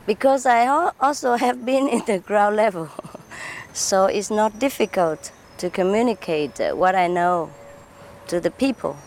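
A woman answers interview questions as a spiritual teacher. Her English is halting, full of fillers, repeated words and soft laughs, and her pitch sits mid-range. A faint hum of traffic runs behind her. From Vietnam.

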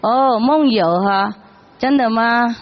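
Woman, spiritual teacher, slow, gentle, laughs often, muffled recording. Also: a little high. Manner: gentle, laughs often, switches between languages. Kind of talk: casual chat with children at a gathering.

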